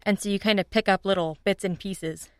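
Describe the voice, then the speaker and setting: A woman's voice: medium pitch, fairly even intonation, brisk, a little mellow, no echo. A young woman chatting casually as a guest on a podcast. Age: young adult. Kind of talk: casual chat.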